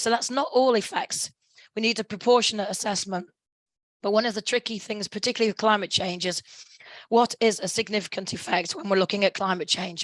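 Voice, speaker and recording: A woman in her fifties presenting a webinar lecture, croaky as she is losing her voice, speaking in a measured way with hesitations and throat clearing. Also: medium pitch, a bit bright, dry recording, poor audio. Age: 50s.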